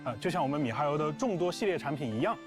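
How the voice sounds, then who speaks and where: A man's voice: a little high, expressive, racing. A man speaking as a game developer, giving a prepared presentation to players. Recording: music playing behind the voice. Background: plucked guitar music.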